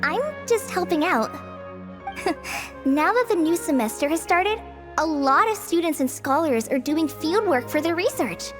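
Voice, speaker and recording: Shy polite teen ranger girl, really high light voice, bouncy pitch, anime game dub. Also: lively intonation, unhurried. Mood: flustered, modest. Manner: hesitant, self-effacing, polite. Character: modest, earnest teenage girl forest ranger. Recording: music playing behind the voice.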